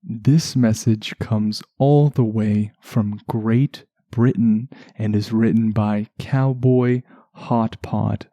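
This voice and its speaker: Soft sleepy podcast guy, really slow and mellow, gentle chatty asides, close dry sound. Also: on the low side, no room sound, lo-fi sound. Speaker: podcast host. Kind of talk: relaxation podcast, soft soothing narration.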